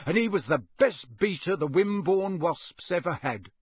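A man's voice: high-pitched, animated, normal speed, breathy edge, no room sound, clean studio sound, pitched above the performer's own voice. A man voicing a kindly, flustered middle-aged wizard father who talks politely and fumbles nervously. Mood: genial.

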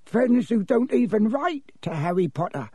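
A man's voice: high voice, sing-song, normal speed, mellow, husky, no echo, clean studio sound, voice pushed up, exaggerated voice. A man voicing a small, anxious and servile but stubborn male elf, pleading as in an audiobook. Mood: sly. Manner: pleading, refers to self in third person. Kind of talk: audiobook character voice.

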